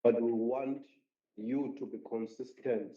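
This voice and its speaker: A man's voice: medium pitch, steady tone, measured pace. A man speaking as a municipal councillor in a council debate, emphatic and repeating his points. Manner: emphatic, repetitive speechmaking. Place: council chamber. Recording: roomy, dull council chamber audio.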